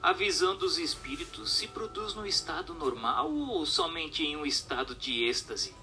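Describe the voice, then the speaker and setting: A man's voice: a little high, lively intonation, normal speed, bright, forceful tone, faint music behind. A man narrating a scripted, dramatized book reading in a formal, declamatory way, posing questions and reading out commentary.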